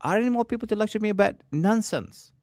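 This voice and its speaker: Man, fiery preacher, rapid-fire, gravelly, dry close sound. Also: sits high, animated, no room sound. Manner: forceful, rapid, repetitive. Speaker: preacher in a played clip. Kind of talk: fiery religious lecture.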